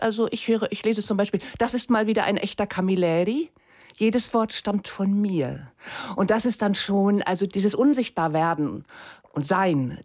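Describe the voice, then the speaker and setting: A woman's voice: mid-range voice, a little mellow. A woman, answering radio interview questions as a guest in a considered, articulate way. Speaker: literary translator, interview guest.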